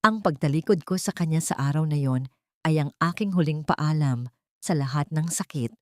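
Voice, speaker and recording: A woman narrating a scripted first-person dramatic story in a solemn, deliberate, sentimental way. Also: sits low, a little mellow, no echo, clean studio sound.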